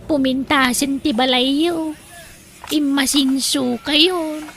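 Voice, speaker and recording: A woman's voice: high-pitched, steady tone, takes it slowly, fairly bright tone, faint music behind, heavily acted. A woman with an Ilocano accent playing an emotive village wife and mother in a theatrical audio drama style. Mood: admiring, apologetic.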